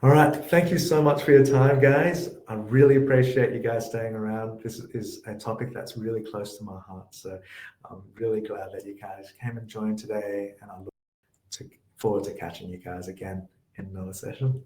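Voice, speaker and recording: Soft-spoken male workshop host, low mellow tone, echoey room. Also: fairly even intonation, moderate pace. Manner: gentle, reflective, with fillers. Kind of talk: online workshop Q&A.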